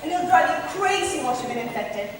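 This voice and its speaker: A high-voiced, mocking young noblewoman in a stage comedy, acted by a woman. She declaims with witty scorn. The sound is very echoey and distant, from a big hall. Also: animated, a little fast, a little hiss or hum. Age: young adult. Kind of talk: amateur stage acting of modernised Shakespeare comedy. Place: large hall, distant microphone at a stage play. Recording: very echoey hall, recorded from far off.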